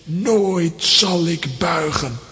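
A man's voice: fairly high pitch, lively intonation, drawn-out words, bright, forceful tone, full character voice. An actor voicing a proud, defiant playing-card king in a pompous, declamatory manner for a children's audio drama. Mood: proud defiance.

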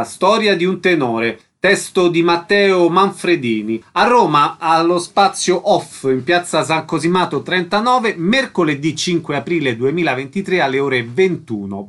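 High-voiced male radio interviewer, dry sound. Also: a bit bright, no room sound. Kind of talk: radio interview questions and event announcements.

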